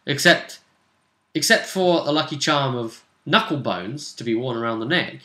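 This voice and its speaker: A man's voice: medium pitch, animated, normal speed, pushed and bright. A man chatting casually as co-host of a tabletop role-playing vidcast, going over the campaign. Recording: echoey room.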